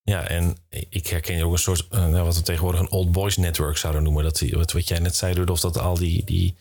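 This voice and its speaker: Deep, husky male podcast interviewer. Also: level tone, quick pace, darkish tone. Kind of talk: conversational interviewing.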